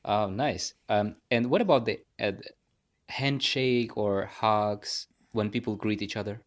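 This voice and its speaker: A man's voice: lowish voice, unhurried. A man from Tajikistan hosting a podcast interview, asking curious questions with short interjections. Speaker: podcast host. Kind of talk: casual interview.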